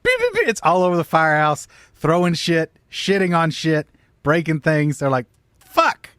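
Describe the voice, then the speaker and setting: A man's voice: a little high, big pitch swings, normal speed, fairly bright tone, no room sound, studio quality. A man casually telling a long comic story, swearing freely and acting out bits of dialogue. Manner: profane, acts out quoted dialogue. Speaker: comedy podcast storyteller.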